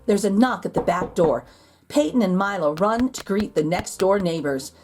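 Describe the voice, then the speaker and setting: A woman reading a children's picture book aloud in expressive narration, with deliberate dramatic emphasis. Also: mid-range voice. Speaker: children's storybook reader. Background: music.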